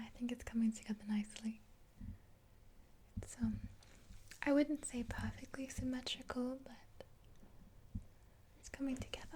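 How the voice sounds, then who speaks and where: A woman in her twenties whispering a gentle ASMR roleplay in a breathy, unhurried, hesitant voice, close to the mic. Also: a little high. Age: 20s. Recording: hissy close recording with clicks.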